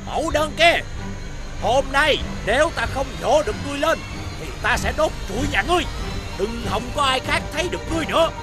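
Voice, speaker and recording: A man voicing a haughty, greedy rich lord in an animated fairy tale, pompous and bossy. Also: very high voice, brisk. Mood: threatening. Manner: pompous, commanding. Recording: loud music behind the voice.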